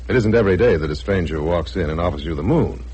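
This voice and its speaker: A man's voice: low-pitched, lively intonation, fast, a little mellow, breathy edge. An actor playing an ambitious, slightly shady Canadian businessman in a radio drama, casual and plain-spoken as he talks and narrates. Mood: resigned, narrating. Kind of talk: radio drama acting and first-person narration. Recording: faintly noisy old radio recording.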